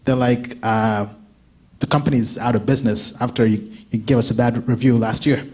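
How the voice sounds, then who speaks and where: A young man giving a casual conference talk, often checking in with a quick "right?" and restarting his sentences. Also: medium pitch, fairly even intonation, darkish tone. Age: young adult. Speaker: crypto analyst giving a talk.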